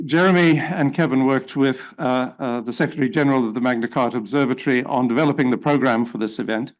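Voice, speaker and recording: A man's voice: mid-range voice, rough recording. South African man giving formal welcome. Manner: measured, with frequent uh fillers. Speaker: symposium chair.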